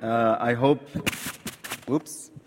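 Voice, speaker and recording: Egyptian-accented preacher, earnest, echoing hall. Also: male voice, medium pitch, fairly even intonation, extremely slow, slight background noise. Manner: earnest, repeats words while searching for phrasing. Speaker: visiting preacher. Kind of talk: sermon with prayer. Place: church sanctuary.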